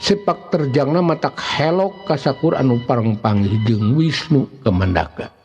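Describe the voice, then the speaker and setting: A man's voice: mid-range voice, normal speed, a little mellow. A man with a Sundanese accent narrating a folk tale as a storyteller. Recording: long reverb, dull muffled sound. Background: faint music.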